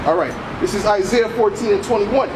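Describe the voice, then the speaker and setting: A man's voice: high voice, brisk. A man preaching on the street in a confrontational, insistent, accusatory way, punctuating his points with repeated tags like "man" and "all right". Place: outdoors on an urban street. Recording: very loud outdoor city traffic noise.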